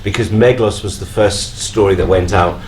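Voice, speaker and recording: A man with a lowish voice reminisces casually and pokes fun at himself. He is recorded live on stage with clearly audible noise around him. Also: fairly even intonation, normal speed. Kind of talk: casual nostalgic panel chat. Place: on stage at a fan convention. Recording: noisy live hall recording.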